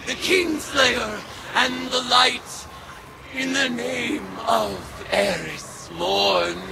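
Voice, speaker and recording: A woman's voice: mid-range voice, vocal fry, background noise, exaggerated voice. An actress voicing a solemn, imperious Hive witch god of vengeance in a video game, declaiming in archaic, ceremonial phrases. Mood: wrathful. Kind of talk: dramatic video game voice acting. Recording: loud music over the voice.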